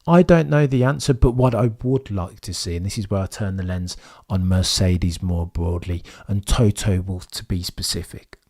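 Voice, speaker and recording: Opinionated man with a hoarse, fading voice. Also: sits low, moderate pace, a little mellow. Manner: opinionated, rambling, frequent "right?" tags. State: losing his voice, hoarse. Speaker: Formula 1 streamer. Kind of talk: live-stream commentary, reading chat.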